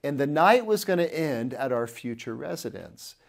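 A man's voice: medium pitch, animated, normal speed, vocal fry, noisy background. A man in his thirties preaching a sermon to camera, earnestly telling a personal story while gesturing, recorded in an indoor studio on a lapel mic.

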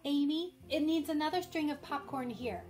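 A woman's voice: sits high, moderate pace, a little mellow, faint music behind, natural voice. A woman playing a spirited, ambitious, bossy young sister in an old-time radio drama. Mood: eager, dreamy.

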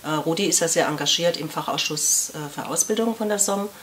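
A woman who trains apprentices at a music store speaks to camera in a measured, explanatory way. Her voice sits low but sounds bright and forward. A faint hiss runs under the recording. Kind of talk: testimonial to camera. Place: indoor room with a piano.

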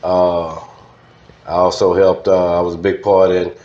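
Deep-voiced older Louisiana man, roomy sound. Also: flat delivery, warm tone, lo-fi sound. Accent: Louisiana Southern US. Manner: unhurried, folksy, with frequent "man" and "you know". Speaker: interview guest, music promoter. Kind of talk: casual reminiscing interview.

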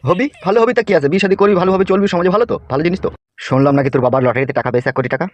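A man's voice: high voice, very fast, no room sound, close to an everyday voice. A man playing a bossy, scheming, foul-mouthed gangster politician in a comedy cartoon. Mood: mocking coo. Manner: bossy, slangy, mock-sweet when coaxing.

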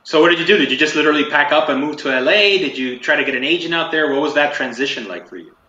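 Very bright man on roomy, dull call audio. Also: a little high, expressive, racing. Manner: encouraging, frequent short affirmations. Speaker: interview host. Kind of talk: casual interview questions. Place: home room with a green wall, video call.

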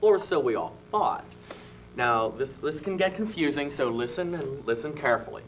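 Halting young man in a studio chat. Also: mid-range voice, expressive, recorded from a distance, background noise. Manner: halting, many fillers, laughing asides. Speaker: cast member guest. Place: small local TV studio. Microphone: handheld mic held by host.

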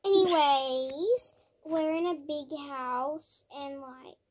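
A woman's voice: sits high, slow, a little mellow. A young girl chatting casually while playing a video game, giggly and talking to her viewers. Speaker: gamer. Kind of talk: casual gameplay chat.

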